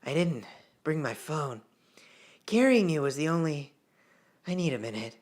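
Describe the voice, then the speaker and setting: A man's voice: on the high side, very animated, unhurried. A man reading the part of a concerned, weary small-town sheriff in a storybook narration. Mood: worried, winded.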